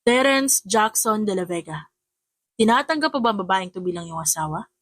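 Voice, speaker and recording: A formal Filipino priest in a romance audiobook, voiced by a woman. The delivery is measured and solemn, and the sound is very dry with no room around it. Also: medium pitch, animated, fairly bright tone, no room sound. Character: male priest officiating a wedding, formal and solemn. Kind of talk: romance audiobook character acting.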